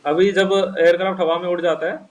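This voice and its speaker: A young man with a fairly high voice, sitting away from the microphone. He explains things informally and haltingly, going step by step and rephrasing as he goes. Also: level tone. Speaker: interview guest, junior air traffic controller. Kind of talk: informal explanation in Hindi mixed with English terms. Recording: voice distant from the microphone.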